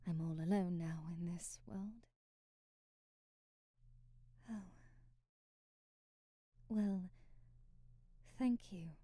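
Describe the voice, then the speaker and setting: A woman playing a timid, devoted maid in an ASMR roleplay, babbling hesitantly and apologetically in formal old-fashioned wording, with a breathy voice that often drops to a whisper. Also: mid-range voice, warm tone, no room sound, natural voice. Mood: shy, admiring.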